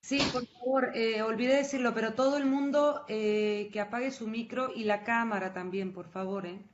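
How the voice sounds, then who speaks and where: A woman's voice: fairly high pitch, fairly even intonation, normal speed, crowd noise. A woman hosting a seminar who formally introduces a guest speaker over a video call, polite and formal, with a few fillers. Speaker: seminar host. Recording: echoey, dull video call audio.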